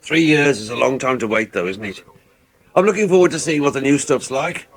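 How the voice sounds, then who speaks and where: A man's voice: mid-range voice, a little fast, lightly acted. An actor playing a mild, enthusiastic country-house man, placating in manner, in a radio soap.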